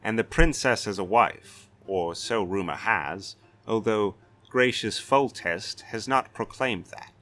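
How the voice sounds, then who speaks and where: A man's voice: fairly low pitch, slow pace, hardly any pauses, fairly bright tone. A man voicing a blustering, sardonic, authoritative castellan in a fantasy audiobook. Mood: wry, exasperated. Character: blustering, weary-wry male castellan of a fantasy city.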